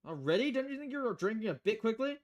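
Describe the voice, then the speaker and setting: Woman doing a lilting, higher-pitched polite bartender girl. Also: very animated, fast, a little mellow. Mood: chirpy, polite. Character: polite, chatty young female bartender.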